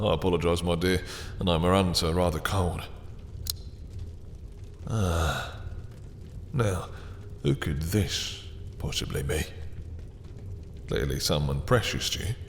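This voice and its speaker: A low-pitched, dominant vampire acted by a man speaks with silky, mocking politeness, lingering over his words. It is an intimate, obsessive audio-drama performance. His voice rings in long reverb, with some noise behind it. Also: vocal fry, heavily acted. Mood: mocking, courteous. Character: dominant, obsessive male vampire taunting a captured hunter.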